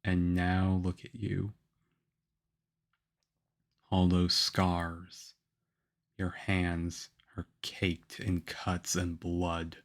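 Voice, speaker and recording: A man playing a stern, aloof young swordsman mentor in a roleplay for the listener, with a harsh, measured, deadpan manner. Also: sits low, heavily acted. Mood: reserved, grudging approval. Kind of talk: ASMR roleplay acting addressed to the listener.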